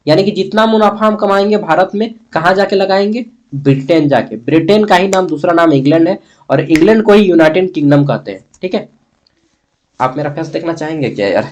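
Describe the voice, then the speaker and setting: A man's voice: on the high side, animated, fairly quick, darkish tone. A man with a Jharkhand Hindi accent giving an informal exam-prep lesson as a teacher, conversational, repeating key terms and answering his own questions. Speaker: history teacher.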